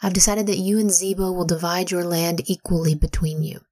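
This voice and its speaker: A woman reading the words of an ancient king in a soft whisper. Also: on the low side, steady tone, a little fast, no echo, studio quality, natural voice. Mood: solemn. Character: ancient king, older man, commanding yet merciful.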